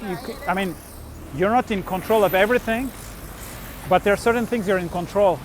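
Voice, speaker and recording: A middle-aged man from Turkey and Switzerland tells personal travel stories casually, in a halting, rambling way with trailing thoughts. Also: sits high, a little hiss or hum. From born in Turkey, grew up in Switzerland. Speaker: vlogger, musician and traveler. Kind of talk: casual unscripted personal storytelling.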